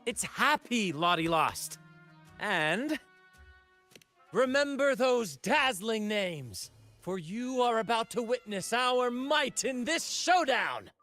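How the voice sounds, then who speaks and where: Flamboyant, lively, high-voiced male showman hero. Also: slow pace, faint music behind, heavily acted. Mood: taunting, showy. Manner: theatrical, teasing. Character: flamboyant, cocky young male showman fighter. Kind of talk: video game hero acting.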